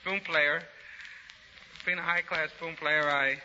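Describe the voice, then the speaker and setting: A man speaks as a contestant on 1930s radio in a fairly high voice. He is slow, halting and boastful, and the recording is muffled. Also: fairly even intonation, bright, forceful tone, a little hiss or hum. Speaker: amateur novelty act contestant. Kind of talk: 1930s live radio interview. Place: stage of a live radio show.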